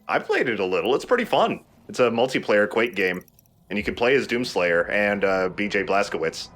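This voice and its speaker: A man's voice: lowish voice, sing-song, a little fast, a bit bright. A man hosting a let's play with laid-back, rambling, casual gameplay commentary.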